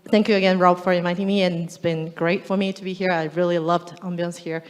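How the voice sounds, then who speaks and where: A woman's voice: lowish voice, flat delivery, brisk. A woman from China with a Chinese accent, a fund manager introducing herself on a panel in plain, direct terms. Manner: plain and direct, with slightly broken grammar. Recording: long hall reverb.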